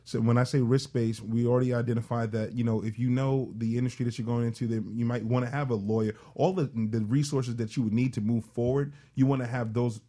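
Male panelist, explanatory and businesslike, rapid delivery, soft-edged mellow tone. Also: mid-range voice, steady tone. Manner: explanatory, uses business jargon. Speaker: panel guest advising on nonprofit management. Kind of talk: conversational panel discussion.

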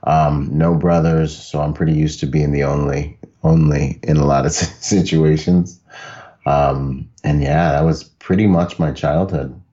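A man's voice: rumbling low, steady tone, a little slow, warm tone, dull audio. A man from the Bahamas, a podcast guest telling stories casually, laid-back and laughing often. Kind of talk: casual conversational storytelling.

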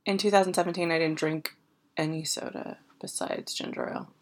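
A woman co-hosting a podcast in casual chat, joking with deadpan innuendo and laughing often. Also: low-pitched, a little fast, gravelly. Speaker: podcast co-host, actress.

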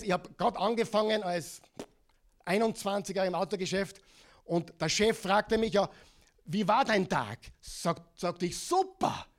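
High-voiced Austrian man preaching. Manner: lively preaching, asks the congregation to repeat words. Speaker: pastor. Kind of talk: sermon.

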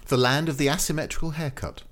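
A man's voice: on the high side, steady tone, fast talker, a bit bright, slight background noise. A man co-hosting a comic podcast, telling stories in a chatty, laughing way. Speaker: podcast host.

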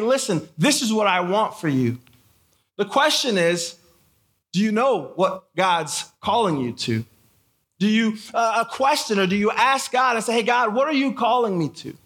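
High-pitched, bright American male youth preacher. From born in Haiti, grew up in Michigan. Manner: casual, engaging the audience with questions and repeated phrases. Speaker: guest camp speaker, preacher. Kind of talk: conversational youth sermon to a live audience.

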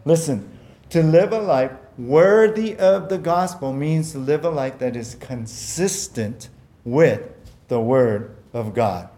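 A man's voice: on the high side, expressive, takes it slowly, mellow. A man preaching a sermon as pastor, earnest and conversational, often repeating words and using fillers, whose first language was Japanese.

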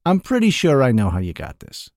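A gruff, skeptical middle-aged shopkeeper, put on by a male narrator, blunt and crude, with his voice leaping up in disbelief. The tone is dark and mellow, and the reading sounds close and dry. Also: medium pitch, huge pitch swings, fairly quick, no room sound. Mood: gruff, incredulous.

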